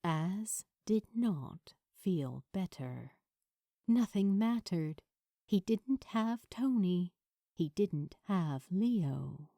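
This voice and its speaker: A woman reading a story aloud as an expressive audiobook narrator, with dramatic, weighted pauses. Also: on the low side, no room sound, studio quality. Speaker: fan fiction narrator.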